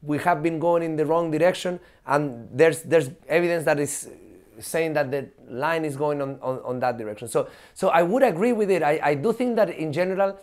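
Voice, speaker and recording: Animated man with a high voice. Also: brisk. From Chile. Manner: animated, laughing, frequent fillers and swearing. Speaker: guest, professor. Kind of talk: casual podcast conversation.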